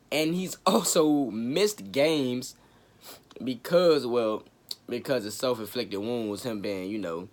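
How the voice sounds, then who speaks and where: A man hosting his own sports talk show, raspy from a cold, chatting casually with slang and jokes. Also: mid-range voice, fairly bright tone. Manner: laid-back, joking, chatty with slang. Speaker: sports podcast host.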